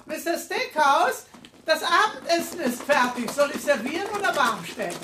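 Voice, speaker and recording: An older housekeeper in a radio drama. She is solicitous and deferential, with a fairly high voice. Noise and clicks are clearly audible behind her. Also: big pitch swings, moderate pace. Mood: attentive. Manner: fussing, solicitous, formally deferential. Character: motherly older housekeeper, attentive, deferential grandmother. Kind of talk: 1980s German radio play acting.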